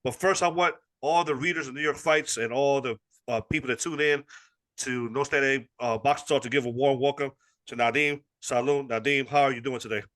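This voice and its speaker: A man's voice: mid-range voice, fairly even intonation, fairly quick, fairly bright tone. A man hosting a boxing interview show, asking questions in an enthusiastic, chatty way. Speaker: interview host.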